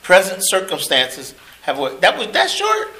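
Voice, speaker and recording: A man's voice: high voice, wildly swooping pitch, normal speed, sharp tone. A man preaching in short phrases for an interpreter, speaking in an informal, joking way. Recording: echoey room, faint noise.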